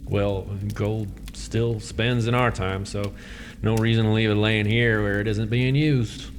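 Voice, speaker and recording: Echoing, slow-talking folksy male adventurer, acted. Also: lowish voice, fairly even intonation, a little hiss or hum, lightly acted. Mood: greedy, casual. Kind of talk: fantasy audio drama acting.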